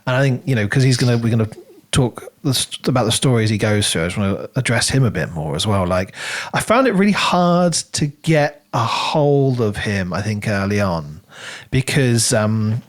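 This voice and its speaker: A man co-hosting a podcast, talking through a book casually in an animated, rambling way as he thinks aloud. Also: on the low side, moderate pace. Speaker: podcast co-host.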